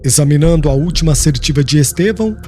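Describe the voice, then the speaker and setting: A man's voice: on the high side, expressive, quick pace, mellow, soft background music. A man reading a solemn, dramatic audiobook narration.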